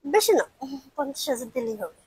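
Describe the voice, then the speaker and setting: A woman's voice: high-pitched, big pitch swings, a little fast, darkish tone, husky, no echo, full character voice. A woman playing a feisty, insistent female delivery worker in an amateur melodramatic skit. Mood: conceding, bargaining. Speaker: actor in an amateur drama video.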